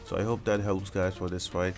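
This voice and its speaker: A man's voice: low-pitched, little pitch movement, brisk, faint music behind. A man giving instructional, explanatory commentary as the host of a video game guide.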